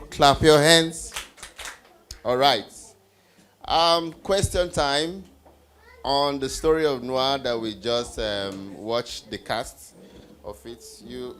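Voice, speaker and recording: An encouraging leader runs a live children's Bible quiz at a slow pace. The voice sits at a medium pitch, putting questions to the group and echoing the answers back. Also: fairly bright tone. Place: live group event with children before a stage.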